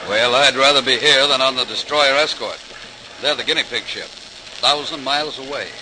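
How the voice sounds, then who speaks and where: A brisk, wry sailor in a vintage radio docudrama. His voice sits at a medium pitch, and the old recording lays clear noise and crackle over it. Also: pushed and bright. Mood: wry, casual. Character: brisk, wry male navy shipmate giving orders. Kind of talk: 1940s-style radio docudrama acting.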